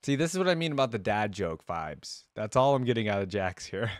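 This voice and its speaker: A man's voice: fairly low pitch, very animated, brisk. A man hosting a reaction video with casual, informal commentary, thinking aloud as he goes. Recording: dry close voice, music behind.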